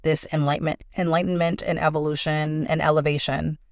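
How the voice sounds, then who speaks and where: A woman's voice: low voice, little pitch movement, brisk, no room sound, studio quality. A woman hosting a podcast, reading a scripted intro and then chatting with a guest, affirming her often. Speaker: podcast host.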